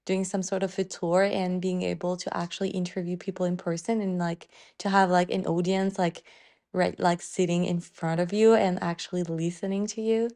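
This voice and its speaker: Woman interviewer, warm and mellow, casual and filler-heavy. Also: on the low side, flat delivery, normal speed. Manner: loose phrasing, many "like" fillers. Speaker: podcast host.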